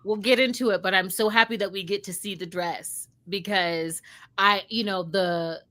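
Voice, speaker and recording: A woman's voice: medium pitch, steady tone, moderate pace, fairly bright tone. A woman co-hosting a book readalong, talking in a gushing, exclamatory way in casual, enthusiastic chat.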